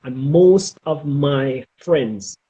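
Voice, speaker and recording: A middle-aged man with a Jamaican accent giving advice in an interview, speaking emphatically and deliberately and often checking in with "okay?". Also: fairly high pitch, animated, dark tone, dull audio. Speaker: interview guest, former air traffic controller.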